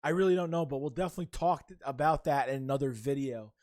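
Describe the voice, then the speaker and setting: A young man with a hoarse, worn-out voice, talking excitedly and rambling with fillers in a casual sports vlog. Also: medium pitch, quick pace. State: hoarse, voice nearly gone. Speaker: sports vlogger. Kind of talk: casual sports talk to camera.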